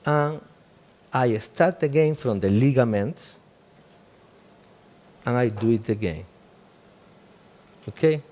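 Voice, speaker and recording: A man with a Cuban Spanish accent walks listeners through a live treatment in halting, non-native English. He keeps checking in with "okay?" and drops in light jokes as he works. His voice sits at an ordinary middle pitch. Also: animated, a little mellow. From Cuba. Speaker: aesthetic doctor giving a live demo. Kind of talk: live procedure demonstration.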